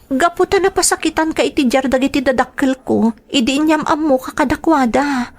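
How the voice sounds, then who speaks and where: A woman's voice: high-pitched, brisk. A woman with an Ilocano accent acting an earnest young woman in love in a radio drama. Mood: hurt.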